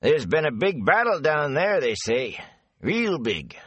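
A man voicing a gossipy, rambling rustic gatekeeper with folksy country speech, high and lively. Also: measured pace, breathy edge, lo-fi sound, full character voice. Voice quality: folksy dialect, rambling and eager.

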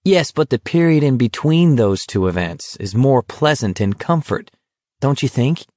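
A man's voice: mid-range voice, expressive, unhurried, warm tone, no room sound, pitched above the performer's own voice, natural voice. A man voicing a sardonic, scornful young man with a mocking, needling wit in an audiobook. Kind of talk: audiobook character dialogue.

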